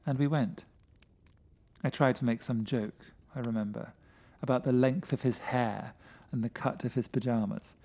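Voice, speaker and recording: A man with a mid-pitched voice reading a first-person gothic story as dramatic narration. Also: steady tone, normal speed, darkish tone. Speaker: story reader.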